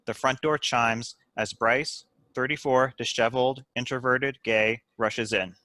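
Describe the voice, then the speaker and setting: Guy reading script stage directions, bright midrange voice, no room echo. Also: mid-range voice, steady tone, unhurried. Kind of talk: scripted narration at a table read.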